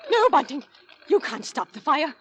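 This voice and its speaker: An actress playing a frightened working-class London wife in a melodramatic 1940s radio play. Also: female voice, sits high, sing-song, normal speed. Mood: desperate. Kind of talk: 1940s radio drama acting. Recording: noisy old radio recording.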